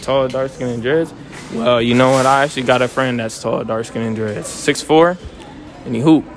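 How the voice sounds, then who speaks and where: A man's voice: mid-range voice, normal speed, faint music behind. A young man hosting casual street interviews outdoors, joking and teasing in slangy talk.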